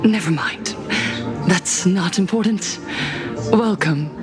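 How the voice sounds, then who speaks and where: An actress playing a melancholic, mysterious game character who savors others' desires, speaking in a deliberate, theatrical manner. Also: female voice, on the low side. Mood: serene, eerie. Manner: slow, deliberate, theatrical delivery. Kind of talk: scripted game character acting. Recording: loud game music behind the voice. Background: music.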